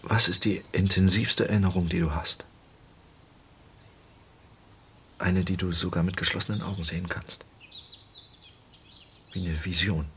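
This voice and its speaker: An actor playing an introspective, obsessive man who narrates his own story in a radio drama, deliberate in his delivery. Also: low-pitched, steady tone, a bit bright, slight background noise. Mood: intimate, quiet.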